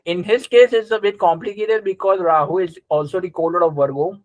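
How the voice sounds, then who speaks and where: Higher-pitched man teaching a class. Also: brisk, dry recording, rough recording. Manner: conversational, checks understanding with short questions. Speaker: astrology teacher. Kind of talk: live interactive class, explaining and quizzing students.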